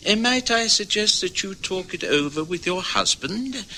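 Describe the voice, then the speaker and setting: An actor playing an officious, formal survey official who hems and haws, in an old radio drama. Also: male voice, sits high, expressive, moderate pace, bright, forceful tone, a little hiss or hum, exaggerated voice, poor audio. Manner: hesitant, formal, full of uhs. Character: smooth-talking, officious male survey official.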